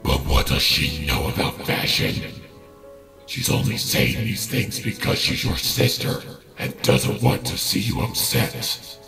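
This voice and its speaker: Gravelly, very deep monster. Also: male voice, big pitch swings, measured pace, pushed and bright, reverberant, faint music behind, full character voice. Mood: cruel taunting. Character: hissing, taunting monster of self-doubt, cruel and belittling.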